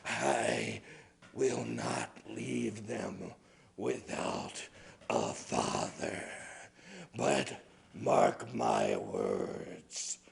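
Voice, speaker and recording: A man playing a vengeful snow spirit woman from a spoken ghost tale. The voice sinks very deep and comes out as a growling, gravelly whisper. Each word is stretched slow and cold with menace. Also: exaggerated voice. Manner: slow threatening drawl.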